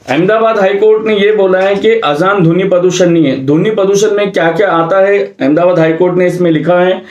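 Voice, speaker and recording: Man, notably high voice, emphatic explanatory address, echoey room. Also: brisk, darkish tone, lo-fi sound. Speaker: religious committee secretary.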